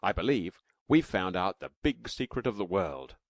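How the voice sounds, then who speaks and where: A man voicing a fond, excited cave-man father who explains patiently. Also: sits low, moderate pace, no room sound.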